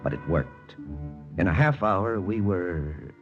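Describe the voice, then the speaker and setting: A wry American lieutenant on a spy hunt in an old radio drama. His low voice swings widely in pitch, quietly lovesick beneath the soldierly talk, on a muffled vintage recording. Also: animated, slow pace, music in the background, exaggerated voice. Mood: wry, confiding. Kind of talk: 1940s radio drama acting and first-person narration.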